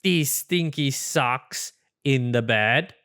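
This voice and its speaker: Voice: mid-range voice, extremely slow, a bit bright, smooth, pitched below the performer's own voice. A storybook reader voicing a cheerful, silly, overconfident male porcupine with a breezy, theatrical manner. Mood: confident, upbeat. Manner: breezy, theatrical, self-assured delivery.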